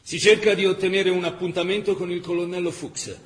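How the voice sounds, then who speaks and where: A man narrating a dramatic historical chronicle in emphatic, measured radio-theatre style. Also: sits high, steady tone. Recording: echoey reverberant hall, faint noise.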